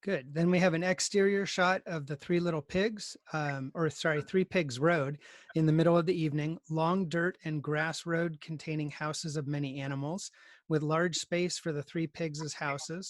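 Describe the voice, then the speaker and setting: A man's voice: fairly high pitch, steady tone, normal speed, dry recording, clean studio sound. A man teaching conversationally on a video call, organizing his students with polite encouragement. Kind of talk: conversational online class instruction.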